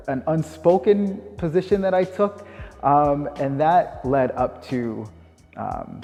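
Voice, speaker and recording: Reverberant Canadian man in his thirties, mellow delivery. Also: mid-range voice, creaky. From Winnipeg, Canada. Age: 30s. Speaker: dance teacher. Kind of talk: informal interview monologue. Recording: long reverb, music underneath. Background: music.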